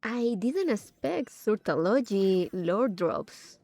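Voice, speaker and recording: A woman giving casual, murmured gaming commentary as a streamer, reacting in short, unhurried asides. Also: mid-range voice, big pitch swings, mellow.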